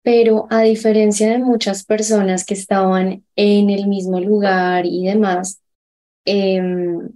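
Female podcast host, friendly, easy laugh, warm and mellow tone. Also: mid-range voice, little pitch movement, slow pace. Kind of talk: conversational interview.

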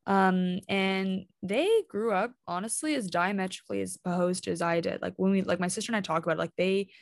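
A woman's voice: mid-range voice, fairly even intonation, brisk, gravelly, no room sound, clean studio sound. A young woman co-hosting a casual chat, curious and reflective as she talks. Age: young adult.

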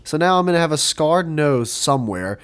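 A man's voice: fairly high pitch, a little fast, smooth. A young man with a stuffy, blocked nose from a cold, chatting casually and laughing as a podcast co-host. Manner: animated, laughing, swearing. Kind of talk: casual chat.

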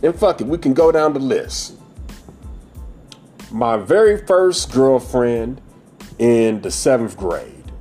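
A man's voice: mid-range voice, expressive, slow, pauses often, darkish tone, faint music behind. A man in his forties hosting a podcast, giving a blunt, profane, preachy monologue about dating. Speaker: podcast host.

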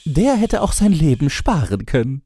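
Man voicing a scornful princess, pitched high. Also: very animated, moderate pace, dark tone, husky, no room sound, studio quality, exaggerated voice. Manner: scornful, dismissive. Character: haughty eldest princess, mocking and scornful.

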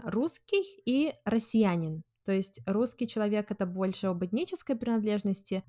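Woman podcast host, mid-pitched voice, curious and explanatory, easygoing. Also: a little mellow. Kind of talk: casual conversational interview.

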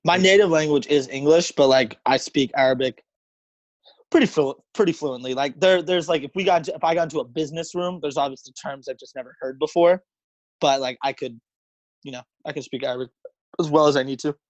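A young American man talks casually as a guest in conversation. His voice sits slightly high for a man, and it is recorded dry, with emphatic, informal phrasing. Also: fairly quick, no room sound, lo-fi sound. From United States and Egypt. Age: 20s. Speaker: interview guest.

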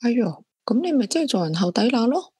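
A woman's voice: fairly high pitch, very animated, brisk, darkish tone, breathy edge, no echo, heavily acted. An actress playing a nosy, blunt female friend in an audio drama, prying with questions and exclamations. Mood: prying, incredulous. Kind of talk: Cantonese audio drama acting.

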